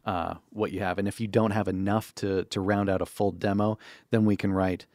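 An American man with a deep voice talks casually as a podcast co-host. The sound is close and dry, from a studio microphone. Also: little pitch movement, moderate pace, darkish tone, no room sound.

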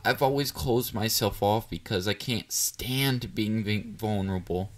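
A man playing a shy, clingy, excitable young catboy boyfriend in an intimate romantic audio roleplay, hesitant and easily flustered. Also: fairly low pitch, unhurried, natural voice. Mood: vulnerable. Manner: hesitant, vulnerable, flustered, with stammers.

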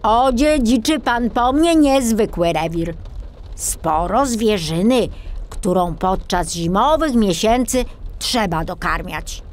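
Woman voicing a jovial, unhurried old male forester. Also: medium pitch, pitched above the performer's own voice, natural voice. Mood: explaining. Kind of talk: children's storybook character acting.

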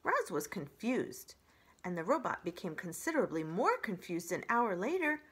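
A woman in her forties reading a children's story aloud in an expressive narrating style. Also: fairly low pitch, moderate pace, breathy edge. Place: indoors by a brick fireplace.

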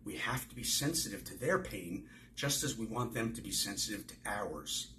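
A man in his sixties reading the part of a hopeful, gentle baker who soothes others, from a novel. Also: fairly low pitch, animated, normal speed. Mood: earnest, soothing.

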